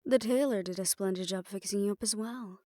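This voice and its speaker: A woman's voice: medium pitch, a little fast, warm tone, dry recording, studio quality, close to an everyday voice. A woman playing a poised, imperious hotel manager in an audio roleplay, speaking formally and with polite condescension. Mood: gracious, smooth. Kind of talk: scripted audio roleplay addressed to the listener.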